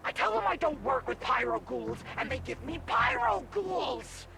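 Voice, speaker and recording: High, croaking, scolding ghoul. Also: male voice, very animated, moderate pace, exaggerated voice. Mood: exasperated threat. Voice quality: very creaky rasp. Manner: scolding, bullying, foul-mouthed. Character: exasperated, threatening ghoul raider boss. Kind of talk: video game character acting. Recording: loud music behind the voice. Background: loud game music.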